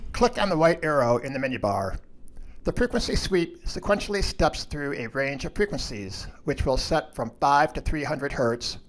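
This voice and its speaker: A man with a moderately high-pitched voice narrates a scripted technical lesson. He moves through each instruction in a measured, procedural way, as a lab instructor would.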